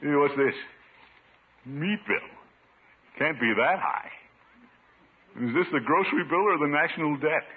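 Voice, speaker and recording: An American actor playing a pompous, good-humored middle-aged uncle in a blustery, grumbling 1950s radio comedy style. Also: male voice, fairly high pitch, a little slow, pauses often. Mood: grumbling, exasperated. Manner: blustery, chuckling, grumbling to himself. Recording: tinny, noisy 1950s radio recording.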